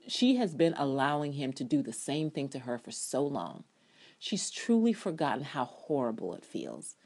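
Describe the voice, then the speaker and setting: Female reader, low pitch, delivering sardonic TV commentary as a dramatic, emphatic essay reading. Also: dark tone. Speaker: TV drama blogger reading own review aloud.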